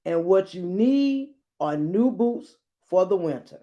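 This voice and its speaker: Storybook grandma, read by a woman: loving but firm, plain-spoken, measured pace, lively intonation. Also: lowish voice, muffled recording. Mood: firm, practical.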